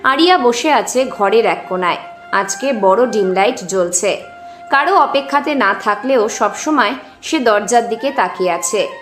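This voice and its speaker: Echoey, higher-pitched female story narrator. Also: lively intonation, a little slow, fairly bright tone. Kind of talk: scripted dramatic story narration. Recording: roomy echo with music underneath.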